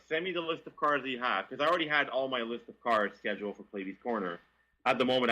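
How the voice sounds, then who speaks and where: A man from Quebec with a Quebec French accent, telling stories as an interview guest in a lively, stammering way. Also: mid-range voice, low-quality recording. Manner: animated, frequent stammering restarts. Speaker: guest, car reviewer. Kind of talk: casual interview storytelling.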